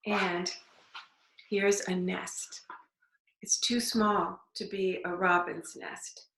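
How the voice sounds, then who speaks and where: A woman reading a storybook aloud to young children, deliberately, with simple explanatory asides. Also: fairly low pitch, level tone, vocal fry, fairly bright tone. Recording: echoey room.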